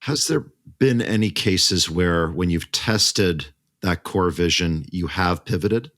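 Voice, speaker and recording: Low-voiced male podcast host. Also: slow pace, fairly bright tone, dry recording, clean studio sound. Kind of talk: interview questions.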